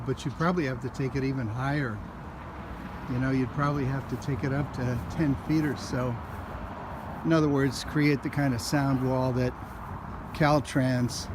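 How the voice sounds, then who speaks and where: A middle-aged man thinking aloud as he walks through a house at an open house, filming with a handheld camera. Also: medium pitch. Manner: musing, halting, thinking aloud. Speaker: house-hunting vlogger. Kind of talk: casual walkthrough commentary. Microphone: handheld camera mic. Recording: echoey rooms, background noise.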